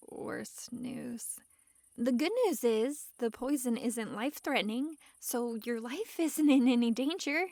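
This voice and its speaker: A woman playing a young kitsune fox girl, coy, doting and gently possessive, in a soft, intimate ASMR roleplay with sighs and coaxing asides. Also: fairly high pitch, gravelly, no room sound, clean studio sound, full character voice.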